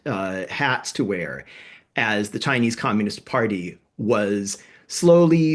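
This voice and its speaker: A man co-hosting a history podcast, explaining events in a casual, thinking-aloud way with fillers and self-corrections. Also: medium pitch. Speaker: podcast co-host.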